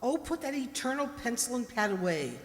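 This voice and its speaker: A woman in a radio drama playing an ailing, irritable old stepmother with a sharp, imperious manner. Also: fairly high pitch, sing-song, fairly quick. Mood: cross, impatient. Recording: echoey hall with music behind.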